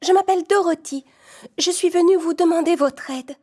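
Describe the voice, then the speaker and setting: A woman voicing a kind young girl in a storybook cartoon, gentle and earnest. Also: very high voice, normal speed, voice pushed up. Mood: polite.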